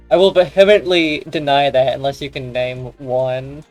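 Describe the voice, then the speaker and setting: A man co-hosting a gaming channel, chatting casually and joking in a deadpan way. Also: medium pitch, measured pace, soft background music. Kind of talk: casual banter during gameplay.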